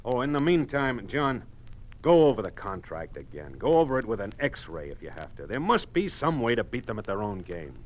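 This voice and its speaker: An actor as a worried American company boss, noisy recording. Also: male voice, medium pitch, sing-song, moderate pace, natural voice. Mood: weary, determined. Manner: firm, reasoning. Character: earnest, worried male oil company boss, middle-aged. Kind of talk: 1950s American radio drama acting. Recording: noisy old 1950s radio broadcast.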